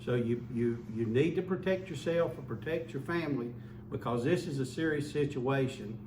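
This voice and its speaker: A man's voice: mid-range voice, moderate pace. A man giving an earnest public health update as a local official, often repeating words as he restarts. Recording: echoey room, mic far off, faint hum.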